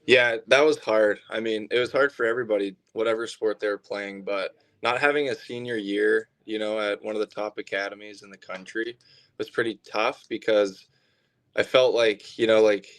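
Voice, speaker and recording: A young man with a fairly low voice. He talks like an athlete in a relaxed interview, candid and reflective, often checking in with small conversational tags. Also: moderate pace, low-quality recording. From southern Alberta, Canada. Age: 20s. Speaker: baseball pitcher being interviewed. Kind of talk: casual interview chat.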